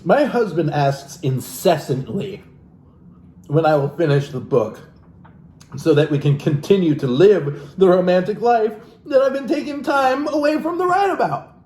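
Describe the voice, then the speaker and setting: Guy w a slightly high voice reading a book aloud, sardonic n sweary, roomy echo. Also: expressive, measured pace, a little mellow. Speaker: reader and host of a comic book reading. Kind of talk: mocking read-aloud with casual asides. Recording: roomy echo with background noise.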